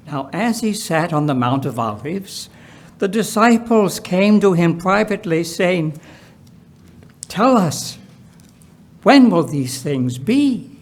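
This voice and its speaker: A man reading scripture aloud in a solemn, deliberate way, with emphatic phrasing and pauses. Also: sits high, fairly bright tone. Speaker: Bible reader at a church.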